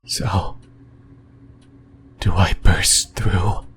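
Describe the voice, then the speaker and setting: A man voicing a creepy, possessive, lonely sleep paralysis demon in a whispered ASMR roleplay, breathy and halting, close to the mic. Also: deep voice, flat delivery, fairly bright tone, a little hiss or hum, exaggerated voice. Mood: uneasy musing. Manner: slow, halting, rambling, intimate musing. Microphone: close microphone.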